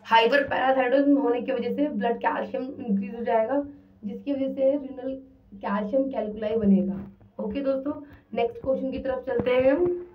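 A woman tutoring for a nursing exam, reading each question slowly and then explaining the answer in mixed Hindi and English. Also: fairly high pitch, dull audio, slight background noise. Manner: mixes Hindi and English, repeats "ठीक है". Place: voice-over on screen recording.

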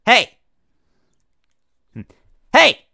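An urgent, nagging cartoon henchman acted out by a man reading a story. The voice is pushed high and loud, exclaiming with frantic insistence. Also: lively intonation, normal speed. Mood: urgent, insistent.

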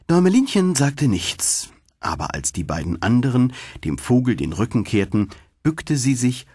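A man narrates a fairy tale in a lowish, husky voice. His storytelling is deliberate and highly animated. The sound is dry and close, as in an audiobook studio. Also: no echo.

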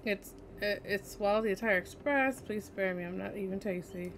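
A woman's voice: medium pitch, moderate pace, slight background noise. A woman streaming a video game, giving casual, exclamatory reactions aloud as she plays. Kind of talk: casual let's play reactions. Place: indoors at a streaming setup.

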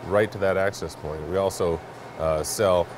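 A man's voice: low voice, fairly even intonation, normal speed. A man in his thirties explaining a product in an interview, matter-of-fact and a little hesitant. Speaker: network product company representative, guest. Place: large indoor warehouse staging space. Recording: clear background noise of a warehouse.

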